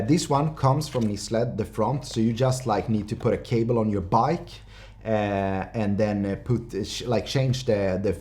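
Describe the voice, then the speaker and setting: Low, mellow-voiced man reviewing gear. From Finland. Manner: halting, hesitant, unscripted delivery. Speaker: presenter of a motorbike gear review. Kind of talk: casual product review to camera. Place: indoor workshop studio.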